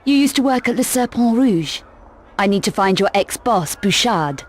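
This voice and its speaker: An actress playing a tough, self-assured young female adventurer in a video game, curt, sardonic and quietly threatening. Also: mid-range voice, expressive, moderate pace, natural voice. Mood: cool, persuasive. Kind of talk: video game cutscene acting.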